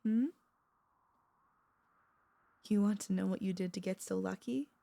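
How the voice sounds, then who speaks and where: Woman acting a doting, creaky-voiced vampire mistress. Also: medium pitch, fairly even intonation, mellow. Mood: vulnerable, tender. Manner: tender, teasing, halting, intimate. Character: doting, possessive two-hundred-year-old royal vampire mistress. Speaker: voice actor and vtuber. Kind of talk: intimate ASMR audio roleplay.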